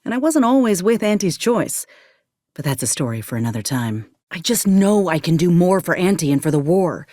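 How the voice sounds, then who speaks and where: A woman's voice: fairly low pitch, expressive, normal speed, warm tone, no room sound, studio quality, lightly acted. A woman playing a wary, plain-spoken former combat medic turned mercenary in a video game, with folksy frontier phrasing. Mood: weary, rueful. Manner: folksy, drawling frontier speech with ain't and folk. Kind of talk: video game character dialogue.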